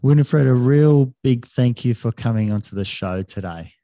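Gravelly-voiced man hosting a podcast. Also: medium pitch, moderate pace, warm tone, no echo, faint music behind. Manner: hesitant, many restarts and fillers. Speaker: podcast host. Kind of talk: casual interview.

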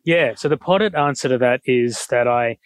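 A man's voice: mid-range voice, normal speed, smooth, no room sound. A middle-aged Australian man giving a public lecture on a headset mic, with easy, self-deprecating humor. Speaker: guest speaker. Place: school hall.

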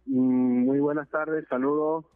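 A man from Venezuela, giving a halting, earnest testimony in a phone interview, full of filler sounds and restarts. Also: on the high side, level tone, no echo, faint music behind. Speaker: interviewee, deported migrant. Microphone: phone line. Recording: narrowband phone line, dry.

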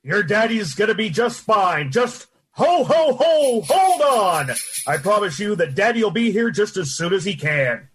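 Folksy old Santa, high swooping voice, husky, hearty, weary, radio play. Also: male voice, sing-song, measured pace, faint music behind, heavily acted. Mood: jolly, hearty. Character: jolly, weary old department store Santa Claus. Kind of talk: old-time radio drama acting.

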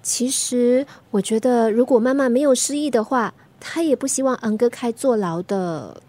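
A woman's voice: on the high side, normal speed, mellow, dry recording, close to an everyday voice. An actress playing a worried, nagging teenage schoolgirl in a radio drama, speaking with a Singaporean accent. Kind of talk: Mandarin radio drama acting.

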